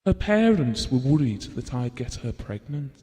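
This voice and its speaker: A man's voice: mid-range voice, sing-song, moderate pace, warm tone, lightly acted. A man acting a devoted middle-aged husband in an audio drama, reminiscing tenderly and wistfully. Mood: wistful, fond. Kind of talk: theatrical audio drama monologue and dialogue. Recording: long hall-like reverb.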